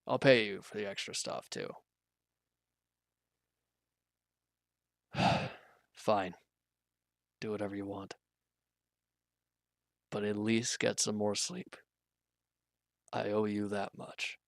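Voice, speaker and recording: A man playing an injured, weary villain in a roleplay audio drama, guarded and quietly considerate, speaking in halting, hesitant lines. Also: steady tone, gravelly, no echo, studio quality. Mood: grudgingly caring. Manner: hesitant, halting, low-key, grudging. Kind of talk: roleplay audio drama, intimate one-sided dialogue.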